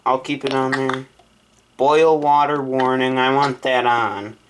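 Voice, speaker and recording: Guy doing a casual setup vlog, reads stuff out slowly n thinks aloud, noisy clicky audio. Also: mid-range voice, flat delivery. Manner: thinking aloud, reading menu items then deciding. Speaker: vlogger setting up a weather radio. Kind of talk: casual unboxing and setup chat.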